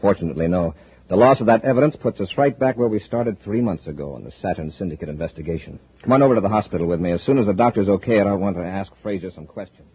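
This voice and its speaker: Husky, brisk space commander. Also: male voice, sits low. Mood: grave, urgent. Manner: brisk, authoritative. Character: stern, decisive male space patrol commander. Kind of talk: 1950s radio adventure acting. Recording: muffled 1950s radio recording.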